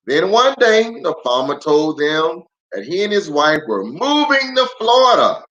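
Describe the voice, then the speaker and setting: A man speaking as a pastor hosting a children's storytime, unhurried and animated, chatting with viewers and drawing out words for emphasis. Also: a little high, highs cut off. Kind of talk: livestream greeting and read-aloud storytelling.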